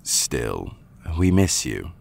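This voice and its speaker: A man playing a gruff, imposing otherworldly fairy with a gravelly voice in a radio play. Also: a bass voice, very animated, very slow, darkish tone, exaggerated voice. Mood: dry, grumbling. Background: faint ambience.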